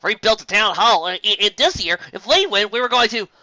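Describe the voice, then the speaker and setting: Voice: medium pitch, expressive, a little fast, sharp tone, no echo, pitched above the performer's own voice. A reader voicing a defensive farm mare who talks hastily and stumbles over her words, in a dull lo-fi recording. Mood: flustered.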